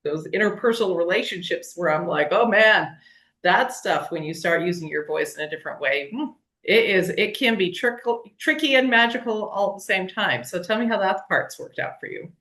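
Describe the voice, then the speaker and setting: A woman's voice: medium pitch, moderate pace, a bit bright. Effusive woman podcast host. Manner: effusive, asks long rambling questions. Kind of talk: casual podcast interview.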